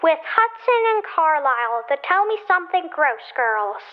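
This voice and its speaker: A woman's voice: sits high, very animated, normal speed. A female performer playing a silly young kid who hosts a gross-out joke podcast, heard over a voicemail line in a radio play. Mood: teasing. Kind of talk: scripted radio play acting. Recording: tinny phone-like voicemail line.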